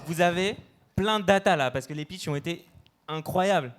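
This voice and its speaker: A man's voice: fairly high pitch, moderate pace. A man hosting a dating show, asking questions and teasing the guests in casual slang. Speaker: show host.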